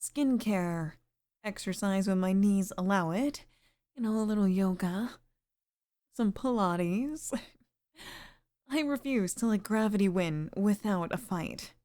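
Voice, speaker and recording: A woman voicing a sweet, middle-aged single mother in an intimate ASMR roleplay, speaking softly and flirtatiously with sighs and pauses. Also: mid-range voice, close to an everyday voice. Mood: teasing, flirtatious.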